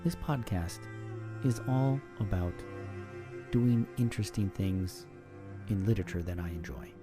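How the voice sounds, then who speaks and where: A man hosting his own podcast, speaking in a thoughtful, reflective monologue and sometimes pausing to search for words. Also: low-pitched, music in the background. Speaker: podcast host.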